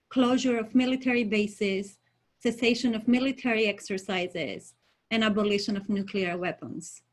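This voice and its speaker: A woman from Greece, a native Greek speaker, giving a prepared online presentation as a coalition member. She speaks in an earnest, measured way with frequent ums and uhs. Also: on the high side.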